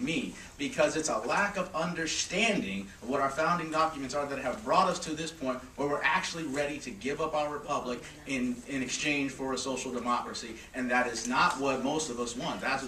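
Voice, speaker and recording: A man speaking forcefully as a panelist at a press conference, urging his listeners. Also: mid-range voice. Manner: forceful, exhorting. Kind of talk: public statement. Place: press club conference room. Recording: echoey room, distant mic, faint noise.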